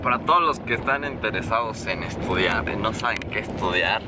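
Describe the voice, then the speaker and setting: A man's voice: lowish voice, animated, brisk. A young man with a Mexican accent, casually vlogging and teasing students he interviews at a crowded university fair. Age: young adult. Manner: joking, teasing questions. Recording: loud crowd noise.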